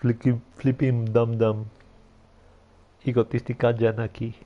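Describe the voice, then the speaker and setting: A man's voice: mid-range voice, little pitch movement, measured pace, background noise. A man streaming a game with casual, teasing, playful commentary. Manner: teasing, playful name-calling. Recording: dull, muffled mic over game music.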